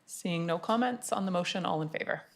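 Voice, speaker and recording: A woman mayor chairing a city council meeting, running through motions in a brisk, formulaic way. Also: fairly low pitch. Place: council chamber.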